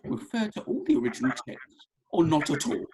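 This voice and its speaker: A man's voice: very high voice, very animated, brisk, creaky, a little mellow, a little hiss or hum, exaggerated voice, poor audio. An actor playing a cheeky, bumbling young British man, colloquial and hesitant, in a read-through of a comedy script. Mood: defiant, jokey. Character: easygoing, cheeky, bumbling young bloke at festival.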